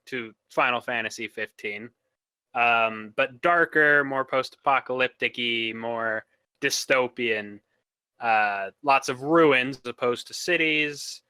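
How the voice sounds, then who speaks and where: A man speaking casually as a podcast panelist discussing video games, in a halting way as he thinks out loud. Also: mid-range voice, clean tone, no echo.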